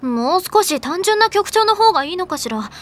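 A woman playing a bossy, cocky, energetic teenage girl club leader in an anime audio drama. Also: extremely high pitch, fairly quick. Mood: musing. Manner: commanding, cocky, emphatic.

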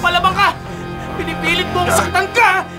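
An actor playing a loyal young accomplice, blunt and streetwise, in a Filipino radio drama. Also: male voice, very high voice, level tone, brisk, a crowd in the background. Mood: menacing. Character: loyal young accomplice, nervous then threatening robber. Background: dramatic music.

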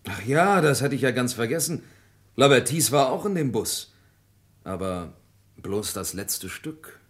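An actor playing a plain-spoken, defensive village farmhand in a German radio drama, justifying himself. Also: male voice, medium pitch, lively intonation, unhurried, close to an everyday voice. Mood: earnest, recalling.

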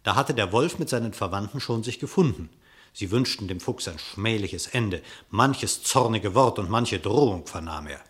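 A man narrating a verse fable in a radio play, in a measured storytelling manner. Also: fairly low pitch, expressive.

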